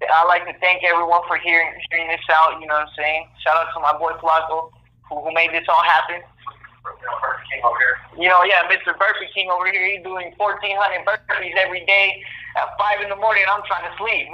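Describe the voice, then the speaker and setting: A young man telling his own story from jail in casual street slang, repeating phrases and saying "you know" often, over a dull phone line. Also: sits high. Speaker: incarcerated storyteller. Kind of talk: casual first-person storytelling.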